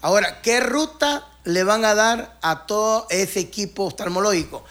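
A man, co-hosting a casual radio talk and chiming in slowly with questions. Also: high-pitched, a bit bright.

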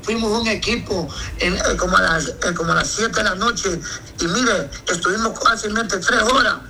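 A man's voice: high-pitched, normal speed, creaky, bright, forceful tone, a little hiss or hum, rough recording. A man, a pastor answering interview questions emphatically and often asking if he's understood. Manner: emphatic, repeats "me entiende".

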